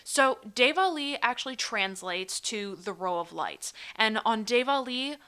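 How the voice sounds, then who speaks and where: A woman's voice: fairly high pitch, expressive, measured pace, sharp tone, clean tone. A woman from Pennsylvania with an American accent, presenting and telling personal stories as a guest. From Pennsylvania, United States.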